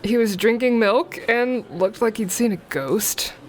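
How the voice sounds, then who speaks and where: A woman's voice: on the high side, unhurried, natural voice. A woman playing a candid, discreet crew member in a sci-fi audio drama. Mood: thoughtful, confiding.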